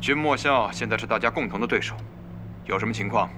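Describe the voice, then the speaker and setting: A man's voice: lowish voice, quick pace, natural voice. A man acting a pragmatic guild leader who mediates among rivals in an audio drama. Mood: measured, brisk. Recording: ambient noise behind.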